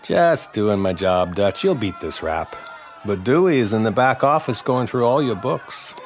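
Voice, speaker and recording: A man's voice: fairly low pitch, animated, unhurried, faint music behind. An actor playing a dutiful, matter-of-fact 1930s policeman in a radio drama. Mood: official. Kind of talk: period radio drama acting.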